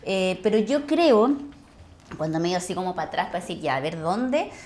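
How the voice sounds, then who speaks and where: A middle-aged woman with a Chilean accent tells personal stories conversationally, expressively and with searching pauses. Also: fairly low pitch, big pitch swings, darkish tone. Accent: Chilean Spanish. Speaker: actress, interview guest.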